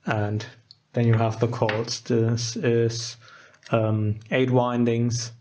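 A man giving a casual, unscripted electronics demonstration, halting and informal as he thinks aloud. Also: mid-range voice, flat delivery, a little mellow, slight background noise. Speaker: host of a DIY electronics channel. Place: indoors at a wooden workbench.

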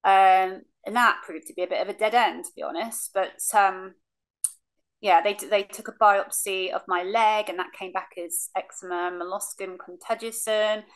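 A woman's voice: mid-range voice, steady tone, moderate pace, poor audio. A woman, frankly telling her cancer story on a video call from her living room, with many ums. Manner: frank, many ums, acts out remembered lines. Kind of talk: casual recounting, remote interview. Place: living room, seated on a sofa. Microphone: video call webcam.

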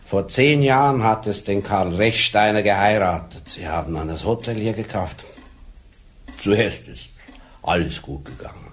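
An actor playing a gruff, blunt older police sergeant with a dry wit. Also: male voice, on the low side, measured pace, close to an everyday voice. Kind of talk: 1980s radio drama acting.

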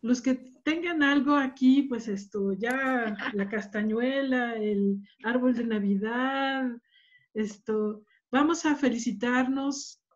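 A woman hosting a seminar over a video call, addressing the group in a lively, cheerful way. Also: a little high, slow. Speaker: seminar host. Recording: dull lo-fi call audio.

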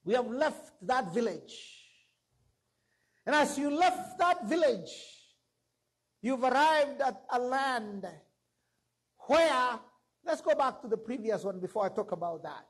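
A man's voice: extremely high pitch, lively intonation, takes it slowly, pauses often, husky. A middle-aged man preaching a sermon from a church stage into a handheld mic, emphatic and rising to exclamations. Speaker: pastor.

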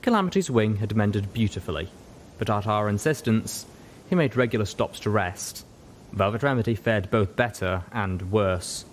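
A man's voice: lively intonation, normal speed. A man reading scripted story narration as an audiobook narrator. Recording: roomy echo, audible noise, low rumble.

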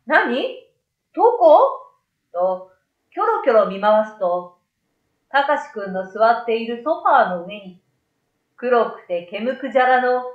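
A middle-aged woman narrating a children's story from a script into a desktop microphone in a small room. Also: fairly high pitch. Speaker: story reader. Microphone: desktop condenser microphone. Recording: roomy, slightly dull sound.

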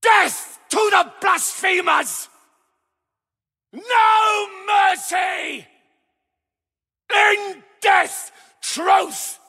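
Shrieking, high-pitched plague-cult fanatic. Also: male voice, drawn-out words, pauses often, bright, forceful tone, shouting, some chatter behind, exaggerated voice. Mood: frenzied battle fury. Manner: bellowing, zealous, menacing. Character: fanatical plague-cult gunman, a rot-worshipping enemy soldier. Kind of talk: shouted video game combat barks. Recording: long echoing reverb.

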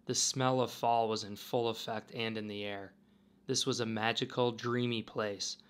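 A man narrating a travel vlog in a calm, wistful, musing way. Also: lowish voice, normal speed, smooth. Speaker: travel vlogger. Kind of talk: reflective voice-over narration.

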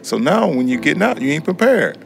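A man's voice: fairly high pitch, sing-song, a little fast, vocal fry. A middle-aged American man talks earnestly and colloquially in an interview as a student. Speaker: prison education student. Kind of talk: candid interview talk. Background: acoustic guitar music.

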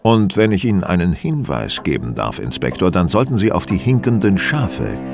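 Low-voiced, wildly expressive master detective. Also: male voice, gravelly, soft background music, full character voice. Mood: knowing. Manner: theatrical, courteous, deliberate. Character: shrewd, courteous, self-assured male detective. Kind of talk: German audio drama acting.

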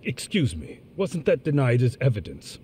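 An actor playing a smug, evasive middle-aged defendant in a courtroom drama game. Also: male voice, mid-range voice, huge pitch swings, moderate pace, dark tone, slight background noise. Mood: dismissive, needling. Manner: sardonic, evasive.

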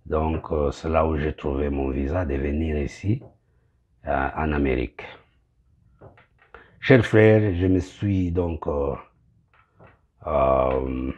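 Pastor, middle-aged man, very low and slow, muffled phone sound; gropes for words, French speaker. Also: a little mellow. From Congo. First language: Kinyamulenge. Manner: halting, searching for words. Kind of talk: direct-to-camera address. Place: small indoor room.

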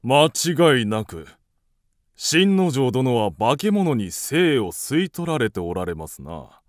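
A man's voice: mid-range voice, very animated, slow, no room sound, clean studio sound. A man playing a grave, reassuring ascetic healer in a radio drama, with solemn, formal archaic speech. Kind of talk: Japanese radio drama acting.

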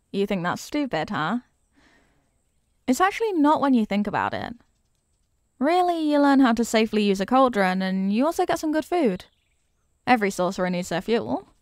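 A young apprentice witch, played by a woman, chats to the listener in an ASMR roleplay. Her voice is on the high side, eager and expressive, with a kindly, teasing manner. It is recorded close and dry, with no room around it. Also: no echo. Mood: proud, chatty. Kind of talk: ASMR character roleplay, scripted.

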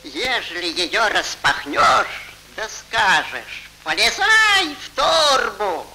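A kindly old beggar from a vintage fairy-tale cartoon. The voice is sharp and bright, swinging widely in pitch, with solemn phrasing. It sits on an old 1940s film soundtrack. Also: male voice, big pitch swings, heavily acted, rough recording. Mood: grateful, solemn. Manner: slow, drawn-out, solemn delivery.

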